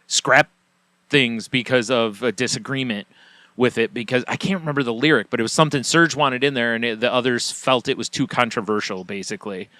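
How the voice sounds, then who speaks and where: A man's voice: medium pitch, a little fast, pushed and bright, dry recording. A man co-hosting a podcast, talking in a rambling, casual way full of "like" and "you know" asides. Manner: rambling, filler-heavy, many asides. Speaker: podcast co-host. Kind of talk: casual chat.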